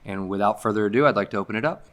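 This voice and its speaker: A man's voice: on the low side, very fast. A man chairing a town public hearing, calling on speakers in a polite, formal way with a light joke now and then. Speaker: selectboard chair running the hearing. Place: town meeting hall.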